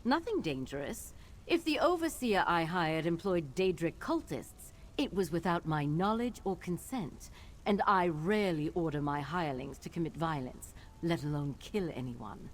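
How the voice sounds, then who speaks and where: A woman's voice: on the low side, expressive, normal speed, a little hiss or hum, natural voice. A woman voicing a haughty, evasive wizard master in a fantasy video game, imperious and dismissive. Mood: defensive, cool. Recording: music behind.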